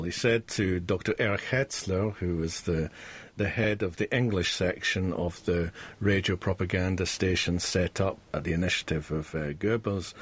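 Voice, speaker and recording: A man with a low, husky voice speaks as an expert researcher. He explains historical findings in interview style for a radio documentary.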